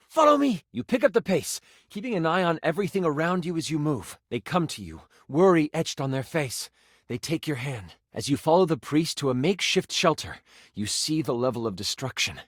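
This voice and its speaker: A man narrating a tabletop fantasy game as its game master, with expressive, theatrical storytelling. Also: on the high side, no room sound, clean studio sound. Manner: expressive, theatrical delivery with playful asides.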